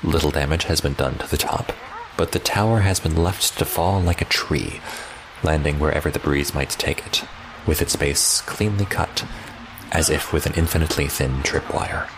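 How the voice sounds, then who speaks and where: An actor playing a cold, controlling man who speaks formally and deliberately, with menacing politeness, in a fan-dub audio drama. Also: very deep voice, background noise. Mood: ominous, formal. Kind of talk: scripted dramatic dialogue and narration.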